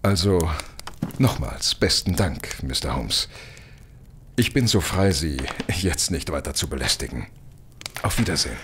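Audio drama: sheepish, wordy gentleman, very low and swooping, faint noise behind. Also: animated, unhurried, pauses often, heavily acted. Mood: grateful, polite. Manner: rambling, hesitant, polite and long-winded. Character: talkative, tipsy-living, apologetic married gentleman, mildly sheepish. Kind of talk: German audio drama acting.

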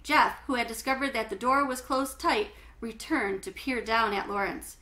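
A middle-aged woman narrates a children's book in a bright, forward voice that sits a little high. She phrases things clearly and tells the story in an animated, expressive way. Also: moderate pace. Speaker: read-aloud storyteller. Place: small home living room, indoors.

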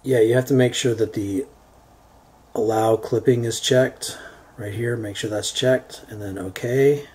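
A man giving an unscripted how-to software demonstration, thinking aloud in a halting way as he works. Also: medium pitch, steady tone. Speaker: tutorial presenter. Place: indoors, beside a computer. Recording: homemade recording with background noise.

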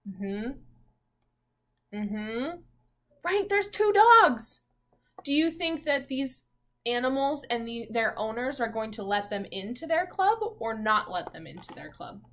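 Slow-paced young woman teacher, higher voice. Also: very animated. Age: 20s. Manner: simple questions, leaving pauses for answers. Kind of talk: picture-book read-aloud with questions to children. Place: small room at home, indoors.

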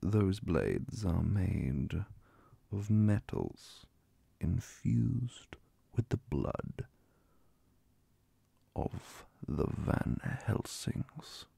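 A man voicing a calm, formal vampire inquisitor in a soft-spoken, whispered ASMR roleplay, questioning in a measured, deliberate way. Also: sits low, creaky, husky, no echo, heavily acted. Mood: soothing, measured. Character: calm, formal male vampire inquisitor, centuries-old authority.

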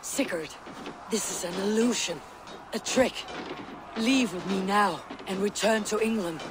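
A woman's voice: medium pitch, noisy background, close to an everyday voice. An actress playing a resolute female viking warrior who speaks firmly and earnestly. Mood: pleading. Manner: firm, earnest, measured. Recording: game audio with music behind.

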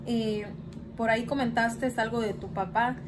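A young woman reads questions off her phone in a small room at home. Her voice sits somewhat high, and she keeps her prompts short. Background noise is clearly audible. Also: fairly even intonation, moderate pace. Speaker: interviewer reading questions. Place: small indoor room, plain wall.

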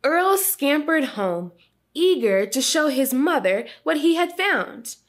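Storytime host: young woman, high voice, unhurried pace, teacherly. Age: young adult. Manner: expressive, teacherly, prompting predictions. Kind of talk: children's read-aloud with lesson commentary.

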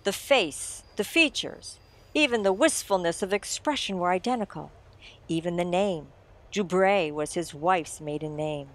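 A woman playing a suave mystery writer in a radio drama, telling the tale in a deliberate, teasing, theatrical manner. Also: mid-range voice, natural voice. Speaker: audio drama actor. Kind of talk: old-time radio mystery narration.

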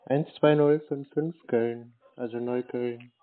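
Deadpan man playing dumb over phone. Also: medium pitch, slow pace. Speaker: call recipient pranking a scammer. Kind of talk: prank phone call. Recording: tinny phone line.

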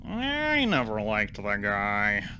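Actor doing sullen alien clerk, very slow drawl, bright hard tone, deadpan, haughty, comic audio drama. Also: medium pitch, a little hiss or hum, heavily acted. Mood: flat, fed up. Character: sullen alien front desk clerk, scornful of humans.

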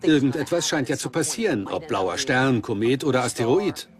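A man's voice: mid-range voice, lively intonation, moderate pace, over music, close to an everyday voice. A man speaking as a team member in a dubbed documentary conversation.

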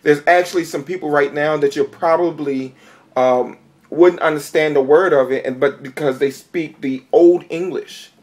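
A man co-hosts a casual conversation, laughing often and restarting his sentences as he searches for the right word. His voice is a little high for a man, and his talk is loose and unscripted. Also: normal speed, darkish tone. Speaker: podcast co-host. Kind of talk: casual discussion.

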